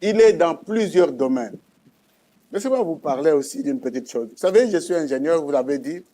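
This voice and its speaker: A man with a Congolese accent shares a religious testimony in a soft, mellow tone. His voice sits a little high for a man. He tells it as a story, emphatically, restating points for effect. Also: expressive, normal speed. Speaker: guest giving testimony.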